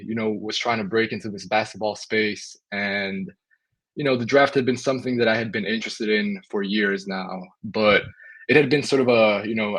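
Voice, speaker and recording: A young man from Spain talks as a guest on a podcast, relaxed and easygoing. His voice sits a little low, and he pads his thoughts with casual fillers. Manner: relaxed, lots of "you know" fillers. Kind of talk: casual interview.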